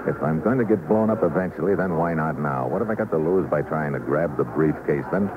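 A hard-boiled American secret agent with a very low voice, terse, sardonic and slangy. He carries an old radio thriller with wry defiance. The vintage recording is muffled and plainly noisy. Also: expressive, brisk. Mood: tense, brooding. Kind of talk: old-time radio thriller, first-person narration and dialogue.

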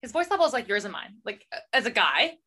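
Young woman, bright voice, sarcastic, chatty book talk, mid pitch. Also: big pitch swings, quick pace, slightly rough. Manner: chatty, sarcastic, mimics characters. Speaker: podcast co-host. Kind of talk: casual chat about books.

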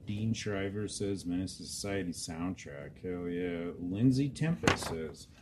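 A man's voice: sits low, expressive, a little slow. A man hosting a casual podcast, reading out listener responses, swearing freely and stumbling over names. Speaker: podcast host. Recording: noisy background.

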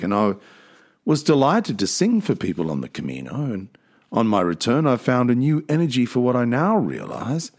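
A man hosting a podcast, talking in a slow, thoughtful, reflective way. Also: mid-range voice, darkish tone. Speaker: podcast host.

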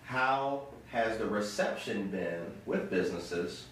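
A man's voice: fairly low pitch, animated, slow pace, fairly bright tone. A man hosting a casual interview, making small talk and asking his guests questions. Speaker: interview host. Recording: very echoey room, distant mic, faint noise.

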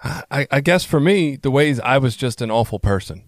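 A man hosting an interview, speaking reflectively and haltingly, with repeated words. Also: medium pitch, lively intonation, no room sound, studio quality. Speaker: podcast host. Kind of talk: conversational interview.

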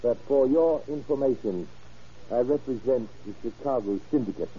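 An actor playing a courteous, formal businessman buying drugs in 1940s radio drama, speaking in deliberate, stilted polite phrases. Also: medium pitch, a little hiss or hum, lightly acted. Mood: businesslike, guarded. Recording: muffled, husky old radio recording.

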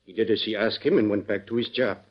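An actor playing a kindly, earnest mission padre with a Spanish accent in an old radio western. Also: male voice, on the low side, quick pace, natural voice. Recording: muffled vintage radio recording.

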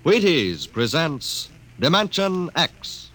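Slow, grand vintage radio announcer man. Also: on the high side, sing-song. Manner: grand, drawn-out. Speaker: show announcer. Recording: noisy vintage radio recording.

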